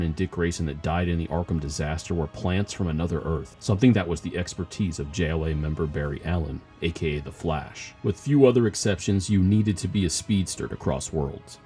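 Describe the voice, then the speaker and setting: A man's voice: deep voice, a little mellow, lightly acted. A man playing a weary, haunted cop who survived disaster, narrating a grave scripted audio log. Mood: brooding. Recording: voice over background music.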